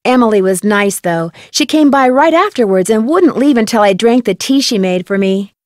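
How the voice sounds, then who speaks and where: An actress playing a calm, polite young woman detective in a video game, with a composed, reassuring manner. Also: fairly high pitch, normal speed, no room sound, clean studio sound, lightly acted. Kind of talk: scripted game dialogue.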